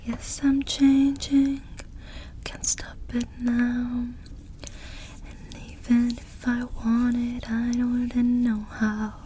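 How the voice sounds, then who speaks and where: A woman doing ASMR, singing and whispering songs in a soft, breathy, gentle voice. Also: on the high side, flat delivery, slight background noise. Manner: slow, drawn-out, gentle delivery. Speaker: ASMR performer.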